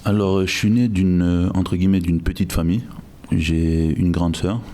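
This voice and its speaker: Guy from guinea, very low flat voice, thoughtful, keeps restarting his sentences. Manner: measured, reflective, frequent restarts. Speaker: guest, logistics director. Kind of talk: podcast interview.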